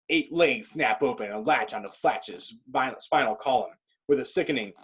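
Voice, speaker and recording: A man with a husky voice reading stage directions as dramatic scripted narration. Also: mid-range voice, rough recording.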